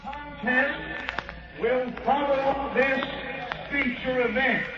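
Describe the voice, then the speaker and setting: A man's voice: very high voice, steady tone, takes it slowly, dull audio. A man calling out boxing introductions word by word, as a ring announcer heard distantly in a 1930s stadium broadcast. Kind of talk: drawn-out public-address announcing.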